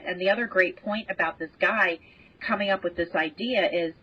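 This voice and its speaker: Lower-voiced woman, muffled lo-fi audio. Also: normal speed, slightly rough. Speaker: expert podcast guest. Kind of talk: casual explanatory discussion. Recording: dull lo-fi remote audio.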